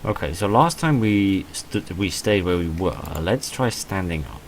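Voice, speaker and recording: A low-pitched man narrates a text-based game, switching between reading aloud and offhand commentary. His asides are wry and self-deprecating. The recording carries noticeable background noise. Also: lively intonation, measured pace. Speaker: gaming video narrator reading a visual novel aloud.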